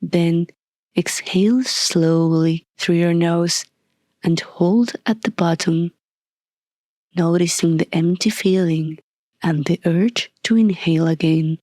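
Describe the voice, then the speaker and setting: A woman leading a guided meditation as a scripted narration, soft and breathy, calm and soothing, with deliberate long pauses. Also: lowish voice, dry recording, studio quality.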